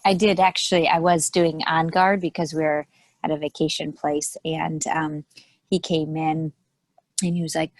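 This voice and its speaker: A woman's voice: low-pitched, darkish tone, no room sound. Woman telling a hesitant story, dry sound. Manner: storytelling, hesitant with many ums. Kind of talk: casual chat.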